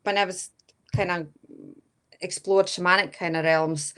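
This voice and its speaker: A woman's voice: lowish voice, fairly even intonation, unhurried, a bit bright, clear, resonant tone. A woman in her thirties from Latvia with a Latvian accent tinged with Scottish, answering interview questions hesitantly with many fillers. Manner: hesitant, many fillers, often says kinda. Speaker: guest medium.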